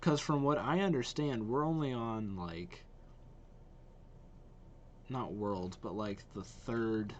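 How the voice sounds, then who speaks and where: A man's voice: lowish voice, slow pace, pauses often, dull audio, slight background noise. A man giving casual reaction commentary as a host, thinking aloud as he goes.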